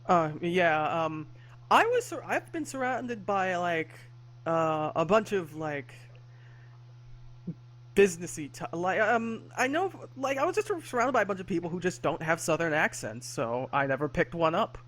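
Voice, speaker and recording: A man chats on a podcast, pausing often with fillers and correcting himself mid-sentence. His voice sits a little high for a man. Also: big pitch swings, fairly bright tone. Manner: hesitant, many fillers and self-corrections. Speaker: podcast co-host. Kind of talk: casual chat.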